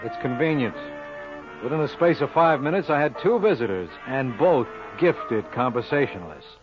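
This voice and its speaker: A man's voice: medium pitch, animated, normal speed, music in the background, close to an everyday voice. An actor playing a cool, wisecracking male sleuth with a dry, sardonic delivery in a 1950s radio crime drama. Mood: sardonic narration. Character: wisecracking, cool private lawyer-sleuth, adult man. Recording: muffled, noisy old-time radio recording.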